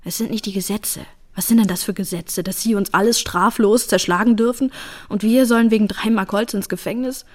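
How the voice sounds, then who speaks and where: A woman's voice: medium pitch, fairly even intonation, fairly quick, a little mellow, natural voice. A woman playing a tender, principled young working-class mother in a naturalistic radio drama, speaking in an earnest, plain way. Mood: earnest, firm. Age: young adult.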